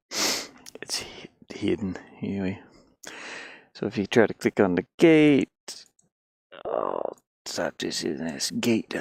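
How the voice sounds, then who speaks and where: A man casually thinking out loud in an offhand, muttering way as he works through game development on screen. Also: lowish voice, vocal fry, no echo. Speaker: indie game developer, streamer. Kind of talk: casual think-aloud screen-share commentary while working.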